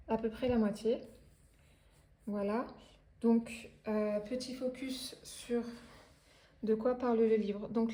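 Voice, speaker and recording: A woman's voice: a little high, mellow. A French woman in her thirties chatting casually about her reading, with lots of hesitations and restarts. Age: 30s. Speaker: craft and book vlogger. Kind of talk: casual chat about books.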